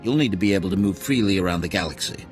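Male council master for a sci-fi game: low, formal, authoritative, music underneath. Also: animated. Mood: grave. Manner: measured, formal. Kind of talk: video game voice acting.

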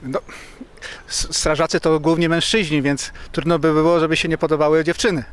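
A man's voice: on the high side, little pitch movement, a little fast, clear, resonant tone. A man in his fifties answering interview questions plainly as a commander, searching for words at times. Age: 50s. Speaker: fire brigade commander. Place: outdoors, fire station yard. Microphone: handheld interview microphone.

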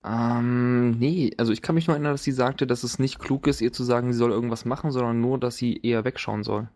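A man chatting casually as game master of a tabletop role-playing game, talking in slangy, informal, halting phrases. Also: mid-range voice, steady tone, darkish tone.

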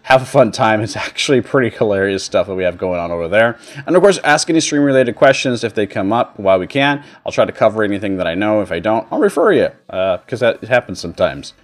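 Low-voiced man giving a casual tutorial. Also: animated, quick pace, hardly any pauses. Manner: informal, rambling explanation. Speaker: streamer and tutorial host. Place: indoor home streaming setup.

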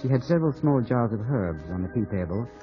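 An actor playing a proud, jealous young gentleman in love, in a 1950s radio drama style. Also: medium pitch, lively intonation, quick pace, natural voice. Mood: wistful narrating. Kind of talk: 1950s radio drama acting, with first-person narration. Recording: muffled old radio recording with music.

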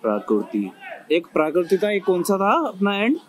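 A man's voice: sits high, very animated, normal speed. A young man vlogging casually at a cricket stadium, chatty and laughing often, filmed on a handheld phone. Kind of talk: casual vlog chat.

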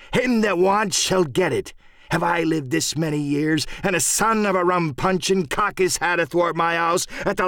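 A man acts out an old pirate captain from a storybook, domineering and sly. The voice is raised and swoops widely, with deliberate, blustering seafaring dialect. It sounds close and dry. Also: fairly high pitch, a bit bright, no echo, voice pushed up. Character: domineering old one-legged male pirate sea cook, menacing, cunning.

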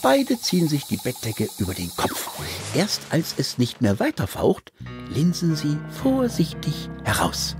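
A man narrating a children's story in an expressive, theatrical way. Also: a little high, a little slow. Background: music.

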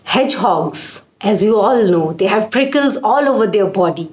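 A woman in her forties with an Indian accent, telling a children's story with deliberate, emphatic repetition. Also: mid-range voice, lively intonation, darkish tone. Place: small indoor room. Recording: roomy echo.

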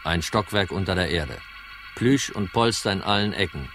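An actor playing a tough, wry detective, terse and sardonic, in a hardboiled crime radio play. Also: male voice, deep voice, expressive, moderate pace, over music. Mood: dry, sardonic.

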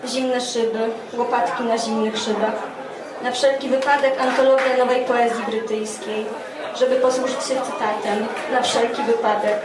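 A woman in her twenties giving a poetry reading in short measured phrases on a stage. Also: a little high, little pitch movement, crowd noise. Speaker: poet reading own work at a festival. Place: dark stage at a live poetry event, indoors. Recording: roomy live stage sound, distant, audience around.

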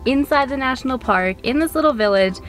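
A woman's voice: sits high, lively intonation, brisk, faint music behind. A woman in her twenties chatting casually to a camera as a travel vlogger. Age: 20s. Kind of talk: casual vlog chat to camera. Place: inside a truck and outdoors at a campground. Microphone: camera held at arm's length.

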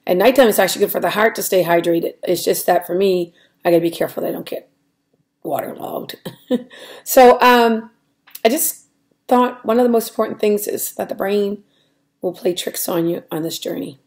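Raspy middle-aged woman, rambling vlog. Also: medium pitch, moderate pace, darkish tone. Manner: rambling, hesitant, many ums and restarts. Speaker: weight-loss vlogger. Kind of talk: casual diary vlog. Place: small room at home, indoors. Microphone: webcam at desk.

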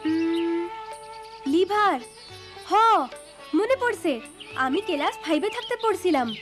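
Kind high-voiced village big sister. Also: female voice, expressive, slow pace, heavily acted. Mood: encouraging, explaining. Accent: rural Bangladeshi Bengali. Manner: gentle, explanatory. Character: kind, encouraging young village woman, big-sisterly helper. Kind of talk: educational cartoon acting. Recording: music and birdsong behind the voice.

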